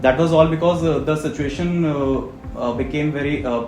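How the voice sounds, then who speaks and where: A man's voice: a little high, normal speed, darkish tone. Indian-accented man in an echoey room. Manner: hesitant, formal wording. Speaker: air traffic controller. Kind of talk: interview account. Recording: roomy echo, music underneath. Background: background music.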